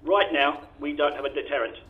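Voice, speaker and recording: A man's voice: fairly high pitch, fairly even intonation, fairly quick, phone-quality sound, lightly acted. Man acting a clipped, commanding army general. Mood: grave, urgent. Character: brisk, commanding male military general. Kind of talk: radio drama acting.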